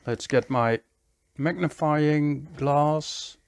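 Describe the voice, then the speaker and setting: Male repair-tutorial host, methodical, gravelly fry in a slightly higher voice. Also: extremely slow. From Netherlands. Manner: methodical, explains step by step. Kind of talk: hands-on repair tutorial commentary.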